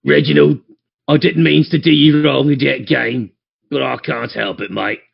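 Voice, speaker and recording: A man's voice: mid-range voice, fairly even intonation, normal speed, vocal fry, slightly rough, lo-fi sound, pitched above the performer's own voice. A man voicing a weary, regretful fellow reading out his chummy farewell confession in a tabletop game. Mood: rueful, resigned. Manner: chummy, rueful, colloquial.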